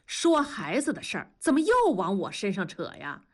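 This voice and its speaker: A woman's voice: mid-range voice, sing-song, normal speed, a bit bright. Woman putting on an exasperated, defensive husband. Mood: testy, exasperated. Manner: reasoning, then testy.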